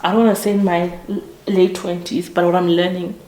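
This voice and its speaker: A woman's voice: on the low side, level tone, a little fast, a little mellow. Earnest woman in her twenties, echoey room. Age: 20s. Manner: earnest, reflective, with frequent restarts and self-corrections. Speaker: podcast host. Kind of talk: casual motivational monologue.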